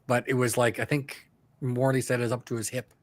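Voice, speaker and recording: A wry man talks sports as a podcast co-host. His pitch is average for a man, his delivery casual and joking, and the recording is dry with no room sound. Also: flat delivery, fairly quick, no echo.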